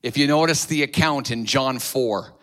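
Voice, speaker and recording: A man preaching a sermon to his church, with a loud voice that swells and drops, repeating phrases for emphasis. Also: medium pitch, normal speed. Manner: sings hymn lines softly, repeats phrases. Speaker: pastor.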